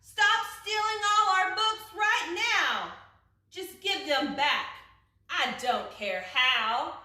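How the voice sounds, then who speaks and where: A woman's voice: high-pitched, expressive, takes it slowly, bright, forceful tone, pitched above the performer's own voice, heavily acted. A woman voicing a brave, indignant female rabbit in a storybook, scolding and emphatic. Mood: defiant. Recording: echoey room, distant from the mic.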